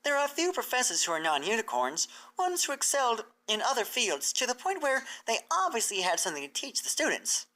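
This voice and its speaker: Bookish female pony scholar voiced by a woman: high, bright, excitable, earnest storybook character. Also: brisk, hardly any pauses. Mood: excited. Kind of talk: fan fiction character reading.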